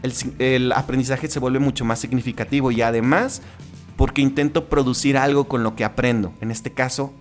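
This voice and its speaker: A man's voice: mid-range voice, steady tone, a little fast, faint music behind. A man with a Mexican accent hosting a solo podcast in a casual, conversational way, with frequent restarts and tag questions. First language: Spanish. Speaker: podcast host.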